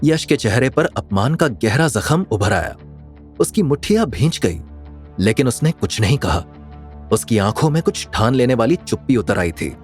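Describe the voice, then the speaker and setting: A male narrator tells a dramatic audio story. His mid-pitched voice moves expressively up and down over a bed of background music. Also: sing-song, normal speed.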